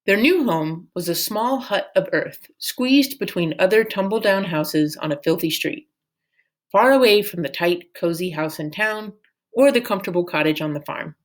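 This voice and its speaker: A woman narrating a storybook as an expressive read-aloud. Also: on the low side, normal speed. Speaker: storyteller reading a book aloud.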